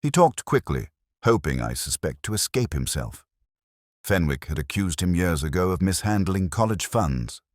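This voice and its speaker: A man reading first-person detective fiction in a measured, literary, melancholic and introspective manner. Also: low-pitched, no room sound, clean studio sound. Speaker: narrator of a detective story. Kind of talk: first-person scripted mystery narration.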